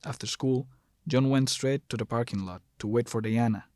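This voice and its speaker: A man's voice: mid-range voice, normal speed, a little mellow, no echo, clean studio sound. A man reading scripted third-person narration for a dramatic audio story.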